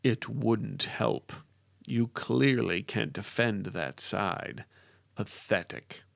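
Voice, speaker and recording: A condescending spirit, voiced by a male narrator, sneers from inside a fantasy hero's head. The voice sits low, gravelly and slow, each word set down with deliberate mockery. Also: no room sound, studio quality, exaggerated voice. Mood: contemptuous. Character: scornful male spirit voice inside a warrior's mind. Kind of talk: dramatic fantasy audiobook character.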